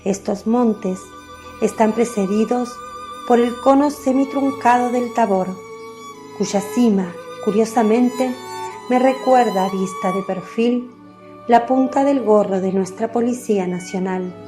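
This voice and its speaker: A woman giving a measured, descriptive scripted narration as the narrator of a radio drama. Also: on the high side. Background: faint music.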